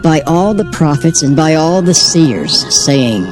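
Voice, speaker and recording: A man narrating old-fashioned scripture in a measured, solemn reading cadence. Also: on the high side, big pitch swings, over music.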